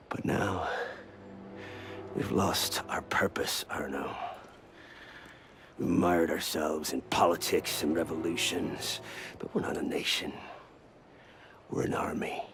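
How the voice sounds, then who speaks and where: An actor playing a bitter, fanatical older assassin in a video game, speaking in a gravelly, husky voice with grand, impassioned intensity. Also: male voice, on the high side, slight background noise, exaggerated voice. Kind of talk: video game dramatic acting.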